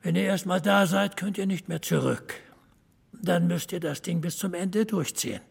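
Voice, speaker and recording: A man playing a blunt, commanding leader of a small-town men's club, dry and matter-of-fact, with a husky, gravelly voice in an audio drama. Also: sits high, moderate pace, heavily acted. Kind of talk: naturalistic German audio drama acting.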